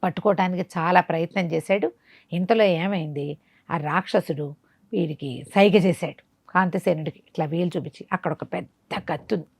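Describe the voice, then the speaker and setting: A woman's voice: lowish voice, a little fast, vocal fry, darkish tone, slightly rough, dry recording, clean studio sound. A woman storyteller telling a bedtime tale in a conversational way, with explanatory asides. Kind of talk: animated oral bedtime storytelling.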